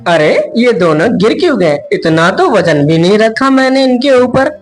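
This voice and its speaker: A woman's voice: a little high, very animated, normal speed, faint music behind. A woman voicing a poor, honest, worried village husband in a children's cartoon story, earnest and plaintive. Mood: puzzled.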